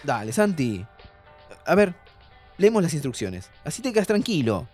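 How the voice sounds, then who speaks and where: A man's voice: on the high side, big pitch swings, normal speed, darkish tone, faint music behind. An actor playing a playful, impatient young man with an Argentine accent, informal and teasing, in a naturalistic audio drama. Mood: impatient, reassuring. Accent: Argentine Rioplatense. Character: pleading, playful, impatient young man planning time travel. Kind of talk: naturalistic audio fiction acting.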